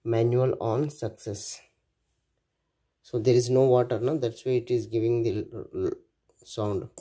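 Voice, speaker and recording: Very dark-voiced Indian-accented man. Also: mid-range voice, steady tone, a little slow. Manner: clipped phrases, repetitive step-by-step listing. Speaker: electronics project demonstrator. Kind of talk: hands-on project demo narration. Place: indoors at a workbench.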